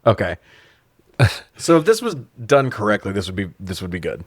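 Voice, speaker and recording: Low-voiced, sweary man in his forties. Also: wildly swooping pitch, a little fast, no room sound. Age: 40s. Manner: profane, sarcastic. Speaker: podcast co-host reviewing films. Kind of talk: casual comedic recap chat.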